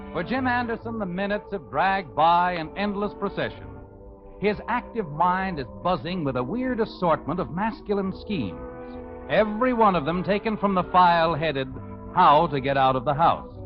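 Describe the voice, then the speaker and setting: A man narrating a 1950s radio comedy in a wry, mock-dramatic storytelling manner. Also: fairly high pitch. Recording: dull vintage radio recording with music.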